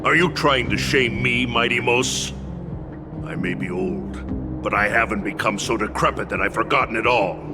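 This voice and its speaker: An actor playing a proud, stern old warrior retainer in a video game, bitter and scornful, bound to tradition. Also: male voice, fairly low pitch, natural voice. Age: older. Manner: slow, weighty, scornful delivery. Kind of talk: dramatic video game voice acting. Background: loud music.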